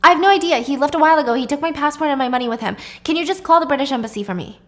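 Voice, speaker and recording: A woman storyteller plays a desperate wife begging to be believed. Her voice sits high and gets urgent and insistent, pressing every plea in a spoken story. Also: animated, rapid-fire, fairly bright tone. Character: frantic, desperate Englishwoman pleading for help, young wife.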